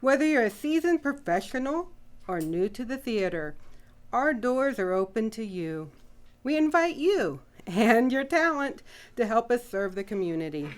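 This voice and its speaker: A woman's voice: on the high side, big pitch swings, normal speed. A woman announcer reading scripted promotional narration for a community theater.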